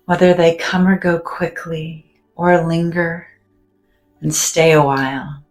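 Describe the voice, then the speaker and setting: A woman leading a guided meditation in a soft, gentle, soothing manner, with long pauses and moments that sink almost to a whisper. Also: low voice, low-quality recording.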